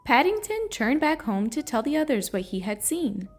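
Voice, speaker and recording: Expressive woman reading a children's story. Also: high-pitched, normal speed. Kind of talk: children's read-aloud narration. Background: soft music.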